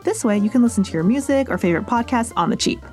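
A woman's voice: on the high side, animated, fast, darkish tone, soft background music. An American woman hosting a podcast, reading a script with chatty, playful asides addressed straight to the listener. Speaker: podcast host and reporter.

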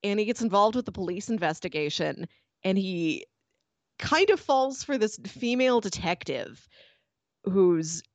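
A woman's voice: medium pitch, animated, unhurried, no echo. Chatty woman podcaster, dry close sound. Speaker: podcast host. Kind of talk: casual conversational TV review.

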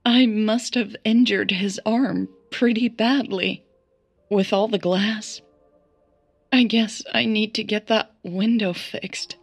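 Lady acting as a scared shaky young woman in an audio drama, raspy, talks real slow. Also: medium pitch, steady tone, faint music behind, voice pushed up. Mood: weary, shaken. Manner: halting, shaky. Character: frightened, shaken young woman recounting an attack.

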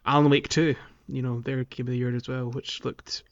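A man co-hosting a casual gaming podcast, speaking in a halting way as he thinks aloud. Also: mid-range voice, level tone. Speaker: podcast co-host. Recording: dull, band-limited voice recording.

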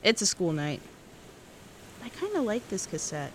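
A woman's voice: mid-range voice, big pitch swings, normal speed, fairly bright tone, a little hiss or hum, low-quality recording. A woman playing a wistful, awkward seventeen-year-old girl who muses hesitantly into an audio diary in a fiction podcast. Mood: casual, reflective. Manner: reflective, hesitant, self-correcting teenage musing. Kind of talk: intimate first-person cassette diary narration. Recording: music under the voice. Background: guitar music.

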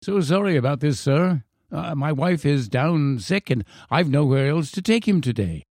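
A man's voice: fairly high pitch, expressive, normal speed, darkish tone, no echo, studio quality, voice pushed up. A man voicing a deferential English chauffeur and firm father in a storybook reading. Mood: apologetic. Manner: apologetic and polite to employer, firm with son. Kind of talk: storybook character voice.

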